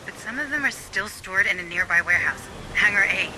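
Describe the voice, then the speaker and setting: A man's voice: sits high, animated, fairly quick, background noise. A man voicing a keen, businesslike mission adviser in a video game, briefing marines in an urgent, explanatory way. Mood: focused, informative.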